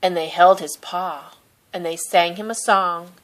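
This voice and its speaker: A woman reading a children's picture book aloud in a gentle, expressive storytelling manner. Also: on the low side, a little slow. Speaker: storybook reader.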